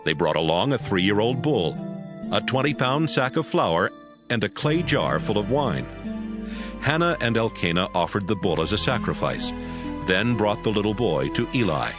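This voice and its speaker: Deep, expressive male narrator. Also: moderate pace, over music. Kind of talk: scripted narration of dramatized scripture.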